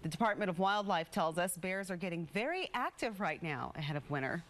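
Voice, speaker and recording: A woman's voice: fairly low pitch, lively intonation, fairly quick, a bit bright, slight background noise. An American woman reading scripted morning news as a television anchor.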